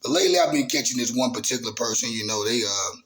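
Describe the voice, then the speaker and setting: A man, hosting his own channel in a casual, halting monologue full of fillers and restarts. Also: mid-range voice, expressive, a bit bright, a little hiss or hum. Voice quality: raspy voice. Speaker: YouTube channel host.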